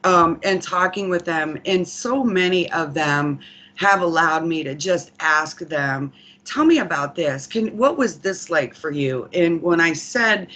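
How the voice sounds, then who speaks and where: Woman, slightly low voice, program official, earnest report delivery, emphatic, reflective. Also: a bit bright. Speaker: corrections program official presenting. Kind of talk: earnest virtual committee report.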